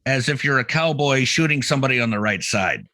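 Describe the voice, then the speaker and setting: A man co-hosting a podcast with casual comedic banter, often halting and repeating words as he explains things. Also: mid-range voice, animated, pushed and bright, no room sound, studio quality. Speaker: podcast co-host.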